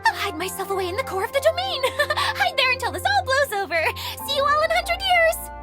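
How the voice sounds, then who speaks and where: Very high, animated, theatrical female caretaker of a magical realm, self-deprecating and easily flustered, game cutscene. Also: a little fast, over music. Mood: panicked, mortified. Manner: theatrical, swinging from polite composure to panicked wailing. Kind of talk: video game cutscene voice acting.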